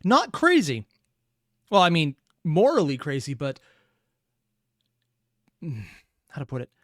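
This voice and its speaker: A man's voice: a little high, huge pitch swings, slow, dry recording, clean studio sound. A man giving casual, analytical reaction commentary, musing aloud as he thinks through what he watches. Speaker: reaction video host.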